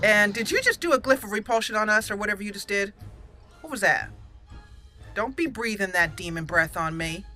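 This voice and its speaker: A woman's voice: medium pitch, expressive, fairly quick, bright, forceful tone, soft background music. A woman giving casual let's play commentary, thinking aloud and talking straight to the game's characters. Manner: thinking aloud, addressing game characters directly. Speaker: gaming channel host.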